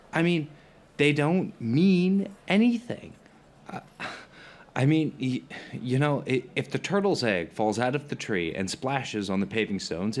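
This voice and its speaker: Man acting a flustered, stammering skeptical dinner companion. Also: fairly high pitch. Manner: halting, stammering, argumentative, emphatic. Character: earnest, skeptical, rationalist male dinner companion, wordy and flustered. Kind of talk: conversational audio drama acting.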